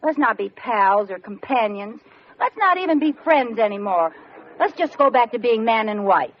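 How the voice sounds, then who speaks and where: Woman playing high-voiced, animated radio-comedy American housewife. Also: normal speed, lightly acted. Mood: pleading, conciliatory. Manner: coy, theatrical, animated. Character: playful, scheming, affectionate young housewife. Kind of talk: old-time radio situation comedy acting. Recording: dull old radio recording, hiss, studio audience.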